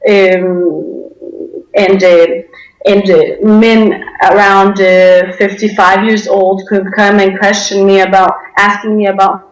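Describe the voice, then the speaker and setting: A woman's voice: mid-range voice, level tone, slow pace. A young woman from Denmark giving personal testimony as an interview guest, speaking hesitantly, in a roomy, echoey sound.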